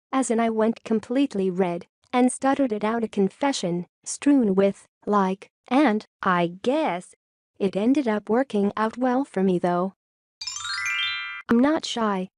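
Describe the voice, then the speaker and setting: Woman, fairly high voice, steady reader of forum posts, dry close sound. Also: no echo. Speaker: narrator reading forum posts. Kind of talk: reading posts aloud.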